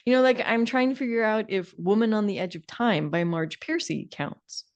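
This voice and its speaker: A woman's voice: mid-range voice, moderate pace, muffled recording. A middle-aged woman co-hosting a podcast in a conversational way, with a wry, emphatic and opinionated manner. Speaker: podcast co-host.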